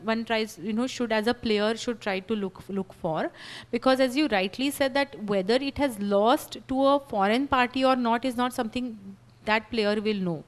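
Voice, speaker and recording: A woman panelist giving a measured, explanatory answer on legal rules, often checking in with "right?" and "you know". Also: a little high.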